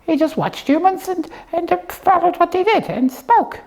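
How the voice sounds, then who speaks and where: A woman's voice: extremely high pitch, animated, normal speed, vocal fry, a little mellow. A woman presenting a puppet show in casual comic chat, explaining things in a rambling, hesitant way.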